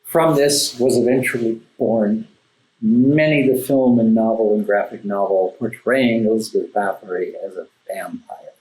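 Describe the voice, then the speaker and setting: A man speaking as an interview guest, explaining and telling stories in a thoughtful, halting way with emphatic asides. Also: fairly low pitch, fairly even intonation, darkish tone. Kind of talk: conversational storytelling and explaining in an interview. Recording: roomy echo, music underneath. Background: classical background music.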